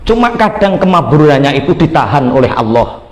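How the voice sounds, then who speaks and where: Echoey Javanese-accented male preacher, higher voice. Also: fairly quick. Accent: Javanese Indonesian. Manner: folksy, animated storytelling mixing Indonesian with Javanese. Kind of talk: storytelling sermon.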